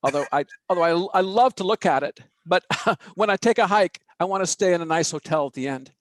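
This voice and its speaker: A man hosting an interview podcast, chatty and quick to laugh. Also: high voice, no echo. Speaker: podcast host.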